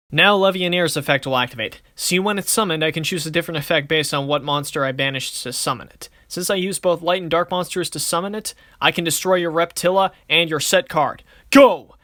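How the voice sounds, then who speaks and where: A man's voice: fairly high pitch, bright, forceful tone, no room sound, clean studio sound. A man playing a cocky card duelist in an anime-style series, speaking in a theatrical, smug, declamatory way. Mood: commanding. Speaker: voice actor in a fan dueling series. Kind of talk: anime-style dramatic battle dialogue.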